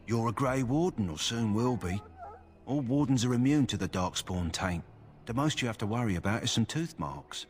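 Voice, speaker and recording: A man's voice: medium pitch, expressive, moderate pace, natural voice. An actor playing a male kennel master in a fantasy game, talking steadily and practically about a sick war hound. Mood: concerned.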